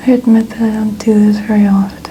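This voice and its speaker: A woman in her twenties speaking in a soft, breathy near-whisper, chatting casually and gently as an ASMR host. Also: medium pitch, flat delivery, fast, a little hiss or hum, poor audio. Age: 20s. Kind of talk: soft-spoken ASMR, casual personal chat.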